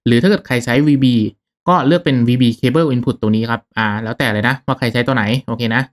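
A man hosting a casual software tutorial, talking through each step in a polite, instructional manner. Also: medium pitch, quick pace.

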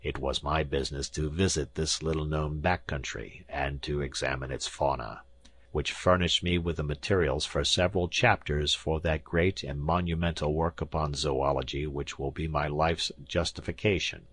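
A man's voice: a bass voice, steady tone, normal speed, a bit bright, a little hiss or hum, pitched below the performer's own voice. A man voicing an arrogant, imperious professor in an audiobook, pompous and lecturing as he talks down to his listener. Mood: haughty, lecturing. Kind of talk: audiobook character acting.

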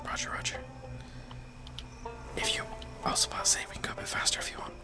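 A man's voice: fast talker, music in the background. A man whispering playful, sweary ASMR gaming chat close to a microphone as he streams and gives directions. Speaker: game streamer and navigator. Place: indoor streaming room. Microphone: close boom-arm microphone.

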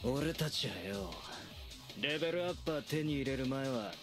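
An actor playing a cruel, cocky young male thug who taunts his opponent in rough, sneering speech. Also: mid-range voice, expressive, moderate pace, lightly acted. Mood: boastful. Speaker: villain. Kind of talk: anime dub acting. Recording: voice over loud background music.

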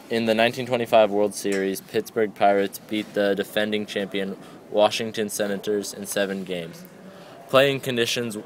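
Sports guy reading radio scores off a script, kinda low voice, bit of noise. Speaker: sports reporter.

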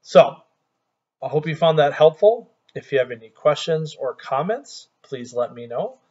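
A middle-aged man talking through a screen-recorded software tutorial in a relaxed, conversational way, with small restarts. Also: a little high, measured pace, highs cut off.

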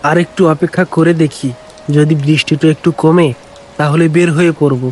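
A man voicing a kind, poor farmer who is a father and speaks gently and with care. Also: fairly high pitch, fairly even intonation, brisk, slight background noise, close to an everyday voice. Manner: gentle, caring.

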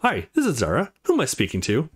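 A confident young college woman played by a man, playful and teasing. The pitch swings widely, in a visual novel game read aloud. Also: huge pitch swings, quick pace, husky.

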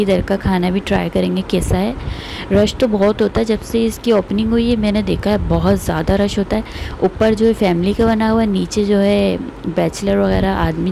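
A woman's voice: mid-range voice, gravelly, background noise. A woman, casually narrating her family outing for a vlog and recommending the place. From Pakistan. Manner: chatty, recommending, pious phrases. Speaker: vlogger.

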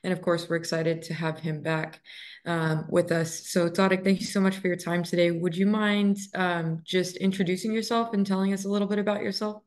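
A woman's voice: fairly low pitch, little pitch movement, a little fast. A young woman in her twenties hosting a webinar on a video call, friendly, with many ums. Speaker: webinar host. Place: room indoors. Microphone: laptop webcam on a video call.

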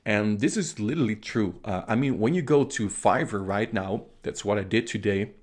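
Dutch man giving a casual software review. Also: fairly low pitch, lively intonation, normal speed. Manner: casual, enthusiastic, with many uh and um fillers. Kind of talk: screen-share product review.

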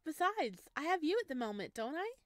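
A woman's voice: high voice, very animated, brisk, no room sound, studio quality, voice pushed up. A woman voicing a shy, eager young male mushroom creature in a game, earnest and hesitant as he speaks. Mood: fond.

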